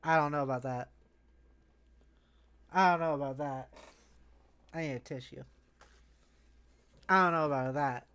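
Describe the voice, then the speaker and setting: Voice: mid-range voice, measured pace, pauses often, fairly bright tone, clean tone. A streamer host chatting casually and animatedly with viewers on a livestream. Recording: music playing behind the voice.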